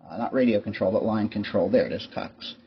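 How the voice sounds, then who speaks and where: A man talks casually through a product on his video channel, in a rambling and resigned tone with dry humor. His voice sits a little low. The recording is dull, with noticeable noise behind him. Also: a little fast. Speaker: YouTube host. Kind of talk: casual product show-and-tell vlog. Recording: muffled lo-fi mic with clear background noise.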